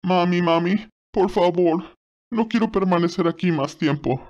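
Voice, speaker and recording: A woman's voice: lowish voice, unhurried, a little mellow, muffled recording, lightly acted. A woman voicing a whiny, spoiled young man with a tearful, childish, pleading manner in an audio story.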